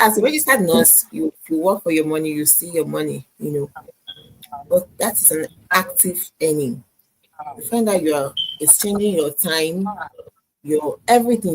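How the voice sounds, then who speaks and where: A woman's voice: on the low side, a little hiss or hum, poor audio. A woman from Nigeria, a guest in a live chat, telling her story earnestly and often repeating herself.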